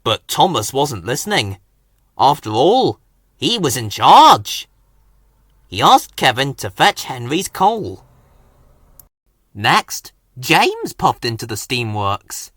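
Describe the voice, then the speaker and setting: A man reads a children's storybook aloud in a dry, close recording. He takes his time, and his pitch rises and falls very widely to dramatise the tale. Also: big pitch swings, slow, pauses often, a bit bright, no echo. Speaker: story narrator. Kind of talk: expressive children's storybook reading.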